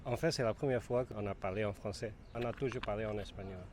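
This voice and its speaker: A man's voice: lowish voice, a little fast, loud music behind the voice. A man interviewing a guest in a casual conversation, asking friendly, probing questions with occasional hesitant fillers.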